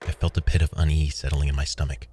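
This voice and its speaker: A man's voice: a bass voice, flat delivery, fast talker, a little hiss or hum. A man narrating a scripted first-person horror story as its narrator. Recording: loud music under the voice. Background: loud background music.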